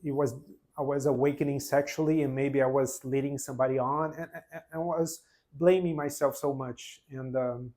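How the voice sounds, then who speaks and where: Brazilian guy in his 40s, portuguese accent, talks earnest and halting, lots of restarts, just telling his own story off the cuff. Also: mid-range voice, steady tone, warm tone. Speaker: vlogger. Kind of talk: spontaneous personal testimony.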